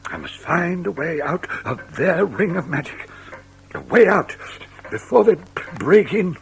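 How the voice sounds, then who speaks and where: An actor playing a mysterious, courteous old Punch and Judy showman with halting, archaic, theatrical speech in an audio drama. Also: high-pitched, expressive, gravelly, full character voice. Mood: urgent, fearful.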